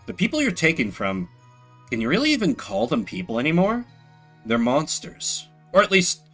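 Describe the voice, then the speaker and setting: A man's voice: medium pitch, fairly bright tone. A man doing solo video game commentary, reading game text aloud dramatically and adding sardonic, rambling asides. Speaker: game streamer.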